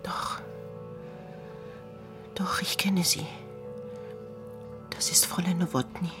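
An actress playing a frail, frightened, tortured shopkeeper in a German radio drama, whispering in exhaustion. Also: female voice, lowish voice, fairly even intonation, takes it slowly, music in the background. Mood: broken, fearful.

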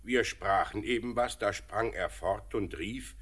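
An actor playing a gruff but fond middle-aged father in a German radio drama, speaking in a measured, homely, reassuring manner. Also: on the low side, fairly bright tone. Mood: calm, probing.

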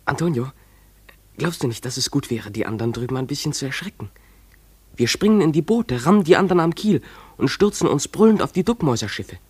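Husky, fervent dreamy runaway boy. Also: a little high, lively intonation, fairly quick, darkish tone. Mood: eager. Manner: fervent, pleading, theatrical. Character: dreamy, defiant runaway boy Stefano, playing pirate. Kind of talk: German radio drama monologue.

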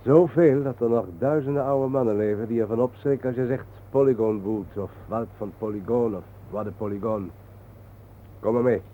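A male actor in a Dutch radio play, playing a calm, enigmatic guide haunted by war, speaking in a measured, patient, cryptic way. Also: mid-range voice, natural voice. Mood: quiet, knowing. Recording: noisy, thin phone-like old radio recording.

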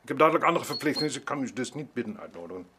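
Actor as brusque, busy landowner. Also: male voice, mid-range voice, animated, background noise, close to an everyday voice. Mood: brisk, dismissive. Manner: brisk, matter-of-fact. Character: brusque, busy landowner, son of a painting thief. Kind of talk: radio drama acting.

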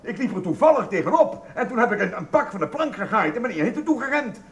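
A man's voice: high voice, very animated, fast, reverberant. An actor playing a dim, flustered male supermarket assistant in a radio comedy, whiny, hesitant and put-upon. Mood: defensive, flustered. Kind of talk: radio comedy drama acting.

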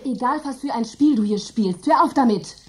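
Female actor as fussy hostess, fretful, scolding, fairly high voice, radio play. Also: lively intonation, normal speed, a little mellow, natural voice. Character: fussy hostess, woman, middle-aged, house-proud.

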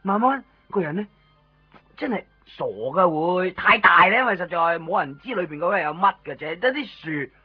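A man's voice: sits high, lively intonation, normal speed, slightly rough. A man with a Hong Kong accent playing a fretful, clingy, dramatic friend in a radio drama, chatty in manner. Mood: spooky warning. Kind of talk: Cantonese radio drama acting. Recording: muffled 1990s radio recording, faint music. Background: faint music.

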